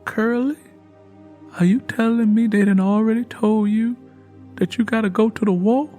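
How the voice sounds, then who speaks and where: A man's voice: high-pitched, steady tone, normal speed, dark tone, soft background music, pitched above the performer's own voice, natural voice. A man voicing an earnest, guarded young country woman with a cautious, pleading manner in an audiobook. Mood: worried, pleading. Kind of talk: dramatised audiobook dialogue.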